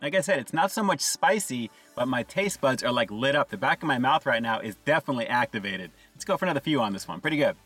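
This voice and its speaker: Upbeat fast-talking man in a car. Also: medium pitch, lively intonation, fairly bright tone, breathy edge, recorded from a distance, soft background music, poor audio. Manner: upbeat, enthusiastic, often says "guys". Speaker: food reviewer. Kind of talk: food review vlog. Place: inside a parked car.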